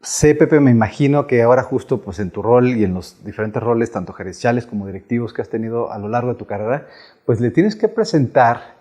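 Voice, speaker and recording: Host guy, chatty long questions, normal pitch. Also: lively intonation, fairly quick, darkish tone. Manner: conversational, builds long questions with fillers. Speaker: podcast host. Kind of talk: interview, casual questions.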